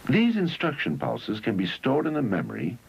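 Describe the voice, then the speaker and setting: A man reads scripted narration for an educational film in measured, instructional phrases, on an old 1971 film soundtrack. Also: mid-range voice, wildly swooping pitch, gravelly, lo-fi sound, slight background noise.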